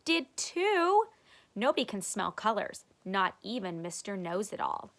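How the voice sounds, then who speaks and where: A woman's voice: mid-range voice, very animated, measured pace, a bit bright. A woman voicing a mocking, skeptical school-age girl in a children's storybook reading. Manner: mocking, challenging.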